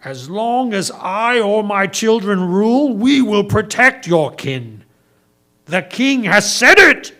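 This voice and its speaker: A man's voice: high voice, expressive, takes it slowly, sharp tone, pitched above the performer's own voice, full character voice. A man voicing a mighty, proud lion king for a storytelling, bellowing imperiously and desperately. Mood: pleading, solemn.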